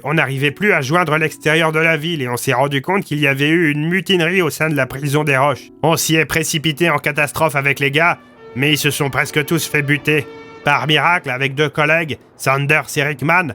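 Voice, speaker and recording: A man's voice: a little high, fairly even intonation, fairly quick, bright, forceful tone, soft background music, pitched above the performer's own voice. A man playing a blunt, slangy small-town police commissioner recounting his survival in an audio story. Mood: grim recounting.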